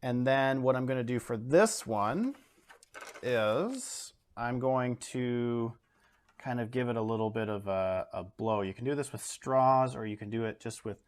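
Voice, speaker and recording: A man's voice: medium pitch, measured pace. A man, an art educator in his home studio, talking casually through a painting demo with lots of ums and uhs. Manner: relaxed, talks through steps with many fillers.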